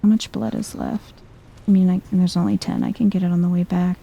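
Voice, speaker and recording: A soft-spoken woman giving chill, hushed ASMR commentary while thinking aloud through a video game. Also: lowish voice, level tone, brisk, creaky, slightly rough.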